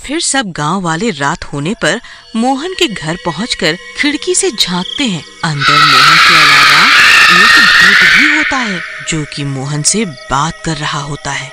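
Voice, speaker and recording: A man's voice: on the high side, very animated, a little slow. A man narrating a scripted children's horror story as the storyteller. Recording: music clearly behind the voice.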